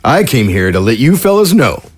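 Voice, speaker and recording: An actor playing a cold, smug government agent who delivers threats in an audio drama. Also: male voice, mid-range voice, sing-song, normal speed, slightly rough. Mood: menacing.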